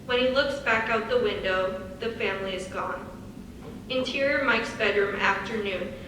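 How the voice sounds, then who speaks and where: A woman's voice: mid-range voice, normal speed. A woman reading stage directions as scripted narration. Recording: echoey hall, far from mic, faint noise.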